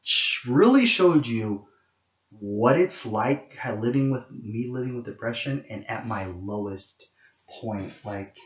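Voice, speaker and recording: A young man with a low voice talks candidly to the camera in a rambling vlog, with many ums and restarts. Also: animated, takes it slowly, echoey room, muffled recording. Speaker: vlogger. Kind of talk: casual monologue to camera.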